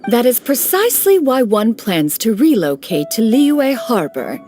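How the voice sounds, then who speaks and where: A woman's voice: fairly high pitch, very animated, unhurried, a little mellow. A woman voicing an aloof ancient female immortal master who speaks in a stately, archaic way, referring to herself as "one", in a game cutscene. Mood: reflective. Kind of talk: video game cutscene dialogue. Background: faint game music.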